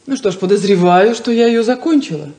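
An actress playing a proud, insecure young daughter in a 1970s Soviet radio play, with a theatrical, defensive, wounded delivery. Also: female voice, medium pitch, fairly quick, dark tone, lightly acted. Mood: wry hurt. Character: proud, insecure, plain-spoken unmarried daughter. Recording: roomy 1970s radio drama recording.

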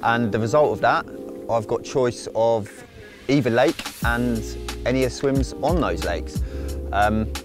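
A man's voice: mid-range voice, unhurried. Man chatting by a lake over music. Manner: relaxed, chatty, plans out loud. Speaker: carp angler. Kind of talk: casual piece to camera. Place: outdoors by a lake. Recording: music playing underneath.

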